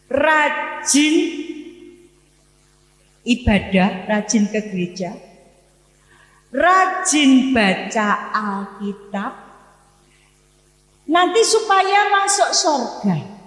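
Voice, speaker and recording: An echoing room carries the voice of a woman in her sixties with a Javanese accent. She preaches through personal storytelling at a slow, deliberate pace, in a slightly high voice. Her delivery is emphatic, with pauses and repeated phrases. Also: big pitch swings, fairly bright tone. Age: 60s. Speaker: Islamic preacher giving a morning study lecture. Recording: echoey hall with long reverb.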